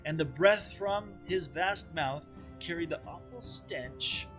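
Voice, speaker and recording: A man's voice: a little high, slow pace, a bit bright, soft background music. A man playing a patient father who tells a campfire fairy tale in a storybook read-aloud. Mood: dramatic.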